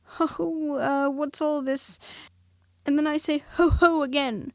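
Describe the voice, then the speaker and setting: A woman voicing a small, timid male piglet who talks quickly and squeakily. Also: fairly even intonation, darkish tone, no room sound. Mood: anxious.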